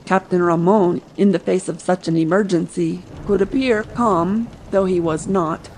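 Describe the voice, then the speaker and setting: A woman's voice: fairly low pitch, fairly even intonation, moderate pace, darkish tone, a little hiss or hum, poor audio. A woman narrating a scripted adventure audiobook.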